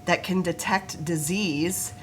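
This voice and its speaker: A woman's voice: on the low side, slow pace, fairly bright tone, a little hiss or hum. A woman guest on a talk show, a forest therapist, explaining her work in an earnest, informative way. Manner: earnest, informative, laughs easily, some restarts.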